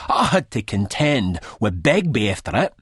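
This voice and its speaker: A man's voice: mid-range voice, very animated, moderate pace, fairly bright tone, husky, no room sound, studio quality, voice pushed up. A man voicing an angry, self-dramatising swindled friend in an audiobook, theatrical and snarling. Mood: furious.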